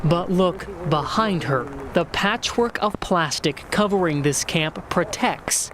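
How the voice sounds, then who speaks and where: A man narrating a scripted TV news story as a reporter, in a dramatic, measured storytelling way with pauses. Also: high voice, expressive, background noise.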